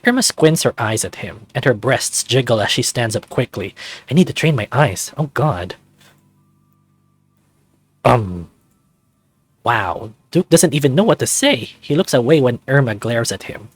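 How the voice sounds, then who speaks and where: A man's voice: medium pitch, lively intonation, unhurried, long pauses, slightly rough, no room sound. A man casually reading a visual novel aloud and commenting on it as he plays. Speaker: let's player of a visual novel. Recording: music playing behind close dry voice.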